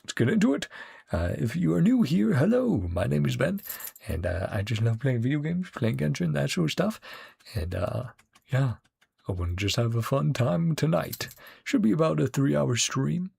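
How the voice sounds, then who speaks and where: Chatty man with lively pitch and creaky voice. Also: normal speed. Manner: rambling, chatty. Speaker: game streamer. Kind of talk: casual reaction stream commentary.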